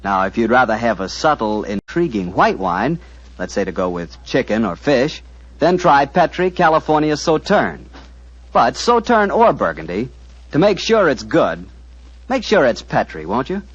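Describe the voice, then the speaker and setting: A male radio announcer delivers a friendly, persuasive pitch with lots of lift and fall in his voice. His tone is husky, in a middle register. The recording is dull and muffled, like a 1940s broadcast. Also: very animated, moderate pace. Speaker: show announcer and host.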